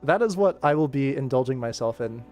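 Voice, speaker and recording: A man's voice: mid-range voice, lively intonation, a little fast, a little mellow, faint music behind. A young American man talking casually and enthusiastically on a livestream, emphatic and given to going off on tangents. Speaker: livestream host.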